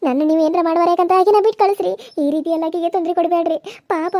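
Shrill, quick-talking rural woman, pleading and wailing , played by a woman. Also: steady tone, mellow, no echo, clean studio sound. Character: frightened village women pleading with a bully.

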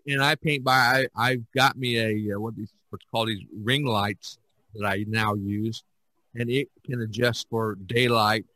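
Older man, podcast host, bright tone, dry sound, casual, rambling explanations. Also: medium pitch, dry recording, rough recording.

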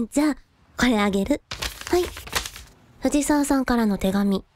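A woman playing a considerate, composed younger sister with a gently wry streak, in a radio drama. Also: on the high side, a little slow, a little hiss or hum, close to an everyday voice. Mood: gentle.

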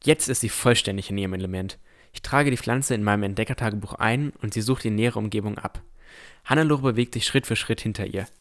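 A man as a low-voiced, cautious young explorer narrator. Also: quick pace, natural voice. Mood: calm narrating. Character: cautious, thoughtful young male explorer narrating his adventure. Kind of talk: first-person scripted narration in fantasy audio drama.

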